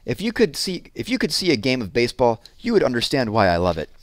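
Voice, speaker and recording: A man's voice: mid-range voice, wildly swooping pitch, fast talker, no room sound, pitched above the performer's own voice. An earnest storybook boy, acted out by a male reader, speaks in a raised voice with serious, pleading urgency. He sounds eager and hopeful, and the recording is close and dry.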